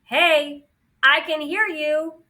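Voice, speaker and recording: A woman's voice: high-pitched, drawn-out words, far from the mic, pitched above the performer's own voice, full character voice. A woman voicing a chatty, eager, impatient little male drone robot in an audiobook. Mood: loud, insistent. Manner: excitable, impatient, loud. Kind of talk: children's audiobook character voice.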